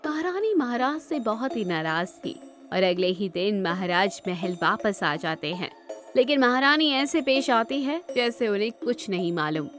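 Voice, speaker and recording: A woman narrating a scripted children's bedtime story in an expressive way. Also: mid-range voice, normal speed, soft background music.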